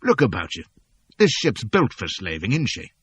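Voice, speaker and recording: A man voicing a cold, menacing slave-ship captain in an audiobook, speaking slowly and quietly with quiet command. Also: on the low side, huge pitch swings, husky, pitched above the performer's own voice, exaggerated voice. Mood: scornful. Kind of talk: audiobook character voice.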